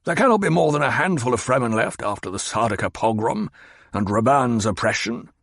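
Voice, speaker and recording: A man's voice: mid-range voice, lively intonation, brisk, vocal fry, a bit bright, no room sound, clean studio sound. A man voicing a fat, petulant, demanding baron who blusters and growls. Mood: blustering.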